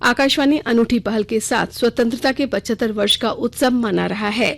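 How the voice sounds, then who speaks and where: A woman's voice: fairly high pitch, moderate pace, creaky. A woman news reader delivering a scripted radio bulletin in a formal news-reading style.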